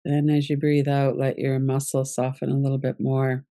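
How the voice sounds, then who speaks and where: A woman teaching yoga nidra, leading a guided relaxation meditation in a calm, gentle, unhurried way. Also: a bass voice, dry recording, clean studio sound.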